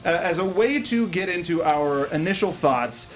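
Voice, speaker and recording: A man with a fairly high voice hosts a casual movie review panel. He talks in a halting, joking way, feeling his way through sentences, while music plays behind him. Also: expressive. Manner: halting, jokey. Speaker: host of a film review panel show. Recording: music playing behind the talk.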